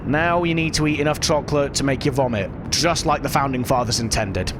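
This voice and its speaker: Casual British streamer with a cough, mid-pitch, rambling gameplay chatter, steady noise behind. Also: male voice, a little fast. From United Kingdom. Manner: rambling, self-deprecating, talks to chat. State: lingering cough.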